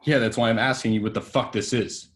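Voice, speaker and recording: An actor playing a hungover, cocky young actor who swears, at a table read. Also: male voice, mid-range voice, fairly even intonation, quick pace, lightly acted. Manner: drawling, swearing.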